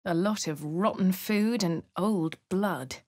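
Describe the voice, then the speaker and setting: Posh female adventurer, crisp English delivery, lowish pitch, dry studio sound. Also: takes it slowly, darkish tone, no room sound. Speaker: player character voice lines. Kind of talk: game voice lines and narration.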